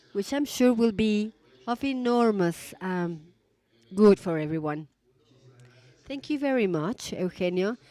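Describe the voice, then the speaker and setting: A woman giving a simultaneous interpretation of a business conference talk, halting and rephrasing as she goes. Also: medium pitch, warm tone.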